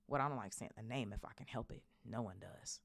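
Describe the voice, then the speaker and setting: A woman voicing a big, gruff, loyal and emotional male groundskeeper in a storybook, with a blustering, halting, folksy manner. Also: a bass voice, dry recording, voice pushed down, full character voice. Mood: anxious, halting.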